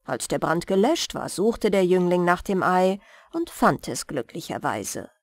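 A woman narrating a bedtime fairy tale as an audiobook, careful and expressive. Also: on the low side, unhurried, dry recording, clean studio sound.

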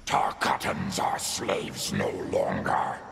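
An actor playing a savage, defiant monster warlord in a video game, with a growling, blunt, menacing delivery. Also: male voice, very animated, slow pace, exaggerated voice. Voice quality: deep gravelly growl. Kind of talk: video game character dialogue. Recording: loud noise behind voice.

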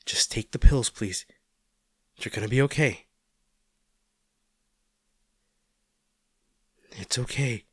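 A man's voice: medium pitch, normal speed, husky, dry recording, clean studio sound, close to an everyday voice. A man acting a caring, worried boyfriend in an intimate audio roleplay, speaking tenderly and coaxingly to his injured partner. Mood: tender reassurance. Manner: tender, coaxing, hesitant, speaking directly to the listener.

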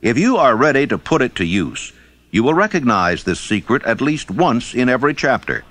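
A man reading scripted audiobook narration in a measured, formal and emphatic way. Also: medium pitch, sing-song, fairly bright tone.

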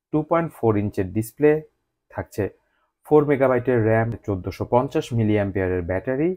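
A man's voice: on the low side, darkish tone, no room sound. A man with a Bangladeshi accent narrating a scripted product unboxing review as the channel's host.

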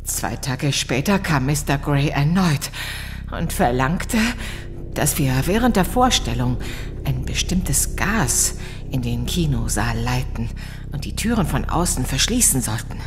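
An actress playing a distraught, blackmailed mother in a horror audio drama, halting and tearful. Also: rumbling low, animated, over music. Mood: tense, haunted.

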